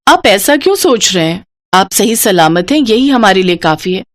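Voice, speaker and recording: A woman's voice: mid-range voice, big pitch swings, normal speed, lightly acted. A woman voicing a dutiful, hopeful young daughter-in-law in a cartoon moral story, polite and earnest. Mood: reassuring.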